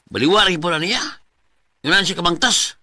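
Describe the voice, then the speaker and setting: A man's voice: high-pitched, fairly quick, close to an everyday voice. A man acting in a Bisaya radio drama as a blunt, teasing office buddy, with a Filipino Bisaya accent. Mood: chiding.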